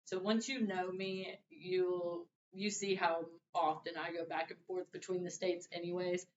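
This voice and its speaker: A young woman gives a relaxed, conversational introduction speech to her class. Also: a little slow. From Louisiana and Arkansas. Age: young adult. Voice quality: deep voice. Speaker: student. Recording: roomy, distant, dull mic with faint hiss.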